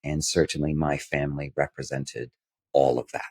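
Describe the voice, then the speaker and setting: A man from Canada with a very deep, low voice recounts his childhood as a podcast guest. He speaks in a measured, descriptive way with a dry, wry edge. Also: level tone, darkish tone. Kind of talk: storytelling in an interview.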